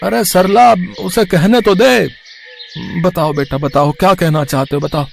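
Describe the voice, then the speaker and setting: A man voicing a gentle, hopeful village husband and father in a cartoon moral story. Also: sits high, fairly even intonation, a little slow, vocal fry, slight background noise. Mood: encouraging, hopeful.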